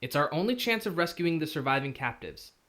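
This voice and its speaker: A young student hero played by a man with a lighter, higher male voice that moves around a lot. He sounds earnest and sharp, questioning what he is told, in visual novel style. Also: sing-song, brisk. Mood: resolute.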